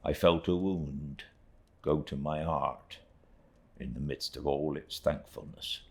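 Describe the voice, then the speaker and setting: A man's voice: extremely low pitch, fairly even intonation, slow, exaggerated voice. A man voicing a humble, devout old seafaring uncle in an audiobook, with a rustic East Anglian dialect, earnest and plain-spoken. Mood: moved, earnest. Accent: rustic East Anglian English dialect. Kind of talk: audiobook character reading.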